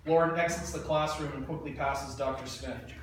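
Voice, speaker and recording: A man's voice: medium pitch, steady tone, normal speed. A man reading screenplay scene directions aloud at a table read, plain and matter-of-fact. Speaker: narrator. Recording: echoey room, mic far off, faint noise.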